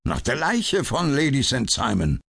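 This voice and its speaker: A man's voice: medium pitch, huge pitch swings, a little slow, creaky, a bit bright, breathy edge, voice pushed up, full character voice. A man reading an audiobook, voicing an indignant, bitter police inspector who barks his words. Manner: blustering and bitter. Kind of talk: audiobook character voice.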